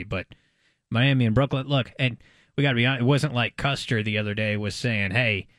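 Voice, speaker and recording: Lowish-voiced man, sports banter. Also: no room sound, clean studio sound. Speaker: guest co-host of a sports talk show. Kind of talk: casual sports banter and commentary.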